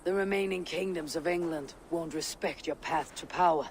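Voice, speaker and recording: A performer playing a calm, measured Viking clan leader in a video game cutscene. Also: medium pitch, a little hiss or hum, natural voice. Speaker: game protagonist.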